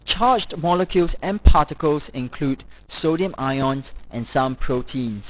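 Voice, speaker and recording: A man reading scripted educational narration as a lecturer, in a formal, measured manner. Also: a little high, lively intonation. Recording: dull, lo-fi voice-over recording.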